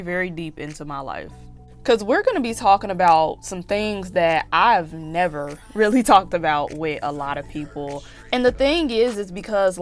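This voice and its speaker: A woman in her twenties talking candidly in a casual confessional vlog, slightly raspy from allergies, with lots of fillers and restarts. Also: on the low side. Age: 20s. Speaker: YouTube vlogger.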